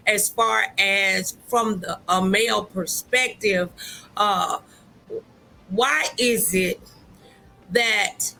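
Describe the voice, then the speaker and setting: A woman hosting a conversational relationship talk show, speaking in a halting, deliberate way with drawn-out phrases. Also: medium pitch, sharp tone, low-quality recording.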